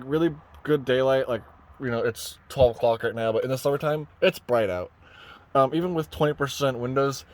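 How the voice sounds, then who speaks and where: A man giving an informal hands-on tutorial inside a vehicle, rambling and thinking aloud as he goes. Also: medium pitch. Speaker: vlogger presenting a truck radio install. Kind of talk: casual hands-on tutorial talk. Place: inside a parked pickup truck cab.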